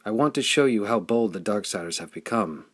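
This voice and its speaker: A man's voice: on the low side, lively intonation, a little fast, fairly bright tone, breathy edge, no room sound, clean studio sound. A man voicing a grave, bitter commander in an audiobook, speaking in formal, emphatic declamation. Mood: grave, urgent. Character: grave, bitter male commander warning of raiders.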